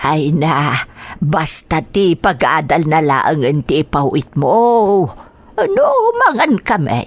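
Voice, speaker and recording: A woman's voice: low-pitched, big pitch swings, takes it slowly, full character voice. A woman playing a caring old grandmother in a radio drama, homely and coaxing, with an Ilocano accent. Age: older. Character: caring, unhurried old grandmother busy in the kitchen. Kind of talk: Filipino radio drama acting.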